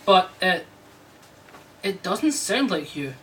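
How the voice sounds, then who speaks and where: A man acts an attentive, worried husband in a radio play. His bright voice coaxes hesitantly, recorded some way from the mic over faint noise. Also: high-pitched, sing-song, slow pace, distant mic, full character voice. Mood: concerned. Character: worried, attentive husband Freddy, gently coaxing. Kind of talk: radio drama acting.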